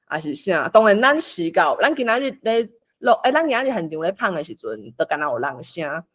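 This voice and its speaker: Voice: medium pitch, big pitch swings, fairly quick, fairly bright tone. A person with a Taiwanese accent explaining and organising a group script reading, thinking aloud in an informal way as the facilitator. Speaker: workshop facilitator.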